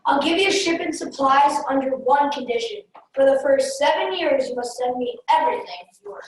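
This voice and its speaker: Stubborn, blunt colonial money man for a stage reading, played by a woman, high-pitched, distant and very echoey. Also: moderate pace, close to an everyday voice. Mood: stern. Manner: blunt, demanding. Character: hard-nosed, stubborn financier of a colonial voyage. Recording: echoey room, distant mic, faint noise.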